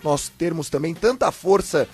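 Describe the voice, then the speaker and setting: Apresentador brasileiro de voz mais aguda. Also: male voice, on the high side, expressive, normal speed, a little mellow, breathy edge, soft background music. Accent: Brazilian Portuguese. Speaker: talk show host. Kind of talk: conversational live interview.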